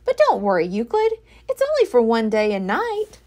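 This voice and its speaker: Woman as mother llama, storybook, kindly, reassuring, instructive, fairly high, animated pitch. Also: moderate pace. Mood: gently encouraging. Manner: encouraging, instructive.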